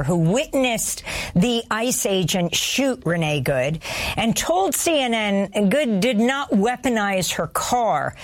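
A woman's voice: medium pitch, no room sound. A woman hosting a news programme, introducing guests and putting questions to them. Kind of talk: broadcast news interviewing.

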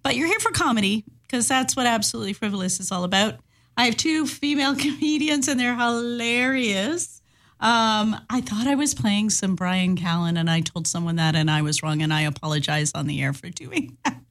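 A woman's voice: medium pitch, moderate pace, a bit bright. A woman hosting a community radio comedy show, talking casually and correcting herself, often laughing between phrases. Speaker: radio host.

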